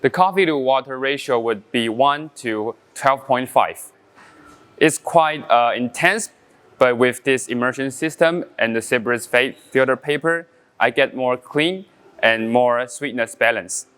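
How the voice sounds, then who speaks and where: Taiwanese barista, mid-pitched and clean-toned, relaxed demo delivery with pauses and fillers. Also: male voice, smooth. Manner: halting non-native English with frequent fillers, explaining step by step. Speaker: coffee brewing champion. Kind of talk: casual brewing demonstration.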